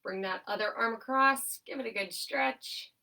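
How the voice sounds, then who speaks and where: A woman's voice: fairly high pitch, moderate pace, bright, forceful tone, far from the mic. Nasal young woman leading exercise countdowns. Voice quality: nasal, stuffed up. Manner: upbeat, encouraging, counts down steadily. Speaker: occupational therapist leading a seated exercise class. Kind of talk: instructional exercise cueing with countdowns.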